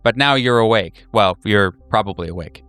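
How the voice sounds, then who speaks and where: A man running a horror tabletop game, narrating atmospherically in a measured, deliberate way. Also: fairly low pitch, fairly bright tone, clean tone, no room sound, soft background music.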